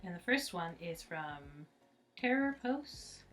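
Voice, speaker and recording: A woman's voice: lowish voice, sing-song, slow, a bit bright. A woman reading out questions as a co-host, with a casual, teasing and informal way of talking. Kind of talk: casual playful banter.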